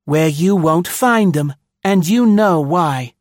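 A man voicing a tall, angry blonde secretary of about thirty, sharp and pettish. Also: fairly high pitch, animated, slow, no echo, studio quality, voice pushed up. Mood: defiant.

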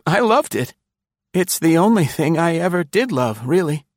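A man's voice: fairly high pitch, big pitch swings, moderate pace, dry recording, voice pushed up. A man voicing a paranoid, fearful middle-aged man who turns bitter and desperate, near sobbing. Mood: wistful. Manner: frantic, pleading, close to sobbing.

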